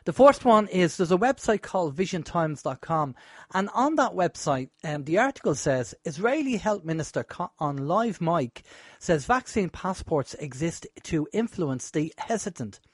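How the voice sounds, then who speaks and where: A man's voice: a little high, very animated, normal speed, breathy edge, no room sound, clean studio sound. Chatty man host with a sore throat. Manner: chatty, rambling, with fillers. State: sore throat, a bit hoarse. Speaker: radio show host. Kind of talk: casual radio talk.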